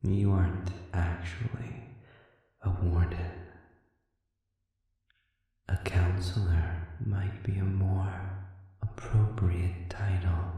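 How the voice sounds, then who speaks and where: A performer voicing a sadistic male demon prisoner in an audio roleplay, speaking formally and sardonically with a taunting, manipulative silkiness that often sinks toward a breathy whisper. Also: low voice, dark tone, heavily acted. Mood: sly, mocking. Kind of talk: slow audio roleplay monologue addressed to listener. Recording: cavernous echoing reverb, distant and muffled.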